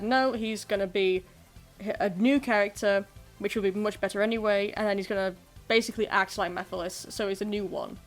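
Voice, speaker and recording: A YouTuber presenting fan theories in a casual, self-deprecating commentary addressed to viewers. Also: medium pitch. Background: music.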